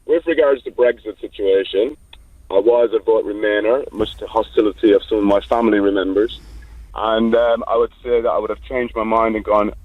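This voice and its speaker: A man calling a radio phone-in, hesitant and pausing with lots of ums. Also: fairly low pitch, steady tone, no room sound. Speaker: caller. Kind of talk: phone-in call. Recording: tinny phone line.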